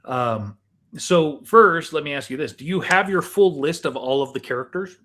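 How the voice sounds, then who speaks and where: A man hosting a podcast in a casual conversational style, deliberate and questioning as he steers the talk. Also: on the high side, expressive, pushed and bright, slight background noise. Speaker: podcast host.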